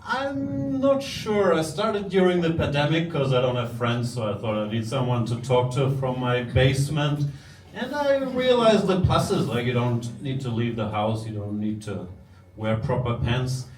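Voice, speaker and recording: A man guest on a stage show, joking in a deadpan, self-mocking way. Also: mid-range voice, measured pace, slight background noise. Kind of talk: dry joking chat. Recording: echoey hall from far off.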